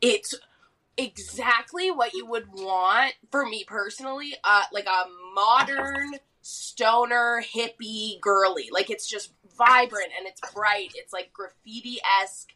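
Bright, excitable woman co-host. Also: on the high side, slow pace, rough recording. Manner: enthusiastic, swears freely. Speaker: podcast co-host. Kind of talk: casual chat.

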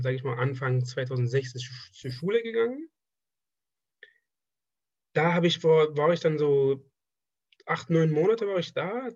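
A young man from Afghanistan with Persian as his first language answers questions in a relaxed, halting way, often restarting his phrases. His voice is fairly high and a bit husky. The sound is dry and slightly muffled. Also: dry recording. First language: Persian (Dari). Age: 20s. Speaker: interviewee, trained draftsman. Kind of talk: casual conversational interview.

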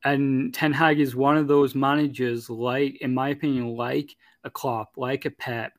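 A man's voice: medium pitch, level tone, unhurried, no room sound. Rambling man, dry sound. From Manchester, England. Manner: rambling, many fillers and restarts. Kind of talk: casual chat.

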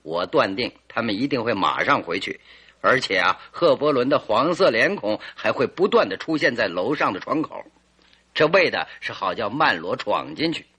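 Radio drama detective: smug and theatrical, bright forceful tone, slightly rough edge. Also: male voice, medium pitch, sing-song, moderate pace, exaggerated voice. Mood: confident, self-satisfied. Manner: theatrical, emphatic, self-assured. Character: confident, self-satisfied male detective expounding his theory.

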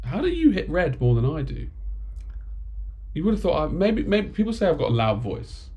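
A middle-aged man hosting a podcast in casual chat, talking at a steady, even loudness. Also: a little high, normal speed, slightly rough. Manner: steady, even loudness by his own account. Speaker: podcast host.

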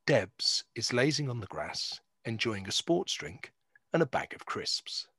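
A male narrator reads scene descriptions slowly and deliberately. His voice is husky yet bright and forceful. It sets each scene plainly, line by line. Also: mid-range voice, sing-song, dry recording. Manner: deliberate, scene-setting delivery. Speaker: narrator reading stage directions. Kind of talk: scripted narration.

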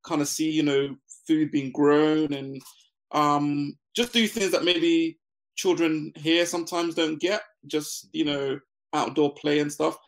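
A man's voice: a little high, takes it slowly, low-quality recording. Laid-back man from Ghana. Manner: relaxed, laughs often, many fillers. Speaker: interview guest, business founder. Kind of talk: casual interview conversation.

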